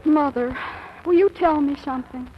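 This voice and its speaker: A woman's voice: sits high, normal speed, close to an everyday voice. A woman playing a gushing, melodramatic fifteen-year-old girl in love, in 1940s radio acting style. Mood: eager, dreamy. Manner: gushing, breathy hesitations and exclamations. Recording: muffled, noisy vintage radio recording.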